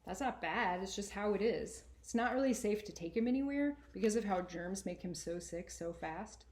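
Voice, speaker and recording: A woman's voice: medium pitch, animated, normal speed, darkish tone, lightly acted. Woman reading as an exasperated, earnest boy narrator. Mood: candid. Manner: colloquial, exasperated, emphatic. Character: sarcastic, earnest boy narrator with a baby brother. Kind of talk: expressive first-person storybook reading.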